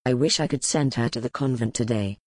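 A woman's voice: rumbling low, a little fast, a little mellow, husky, no room sound, studio quality, pitched below the performer's own voice. A woman voicing a stern, commanding duke in an audiobook, speaking in curt orders. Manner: curt, authoritative. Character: stern cold-blooded male duke, commanding.

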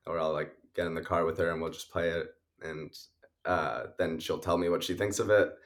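A man's voice: deep voice, steady tone, a little fast. A young man, a musician guest, answering interview questions casually, sniffly and rambling with many fillers. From Canada. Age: young adult. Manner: rambling, many likes and uhs, laughs often.

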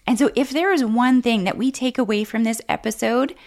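A woman co-hosting a faith-based marriage podcast, speaking in a measured, unhurried way. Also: a little high, a little mellow. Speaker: podcast co-host.

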